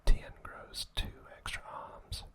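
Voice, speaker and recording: ASMR host, sex indistinct: breath-only whisper for scripted trivia narration, soft throughout. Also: slow pace.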